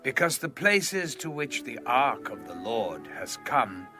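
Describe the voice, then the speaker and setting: A man's voice: medium pitch, very animated, unhurried, over music. An actor voicing a solemn, pious ancient king in a dramatized scripture audiobook.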